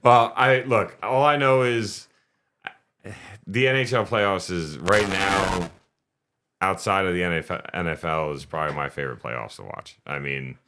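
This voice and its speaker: A man's voice: deep voice, steady tone, unhurried, noisy background. A man co-hosting a sports podcast, chatting casually and joking with laughs. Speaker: podcast co-host.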